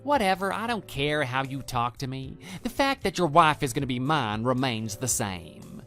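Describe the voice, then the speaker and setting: A man's voice: mid-range voice, big pitch swings, normal speed, sharp tone. A man voicing a cocky, immature love rival with a brash, pushy manner in a scripted online radio drama. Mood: smug, demanding. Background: background music.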